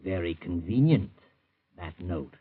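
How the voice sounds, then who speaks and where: Muffled old-radio mystery storyteller, smooth low male voice. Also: lively intonation, exaggerated voice. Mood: sardonic, ominous. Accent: American. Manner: sardonic, theatrical, deliberate. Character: sardonic mysterious male storyteller of crime tales. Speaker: narrator. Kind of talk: 1940s radio mystery narration. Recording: muffled old radio broadcast, music behind.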